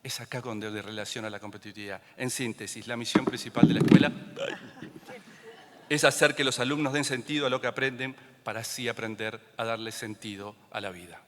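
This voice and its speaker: A man's voice: medium pitch, steady tone, a little fast, noisy background. A man from Argentina giving an impassioned conference talk, fervently listing ideas with rising emphasis. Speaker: conference speaker. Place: large theater stage. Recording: long hall reverb from a theater.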